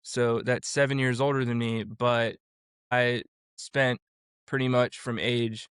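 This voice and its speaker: A man's voice: medium pitch, flat delivery, slow pace, a bit bright, clear, resonant tone, no echo, studio quality. A man in his forties from Florida, a vocal coach and producer, talking casually as an interview guest and rambling through his story with many restarts. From Florida, USA.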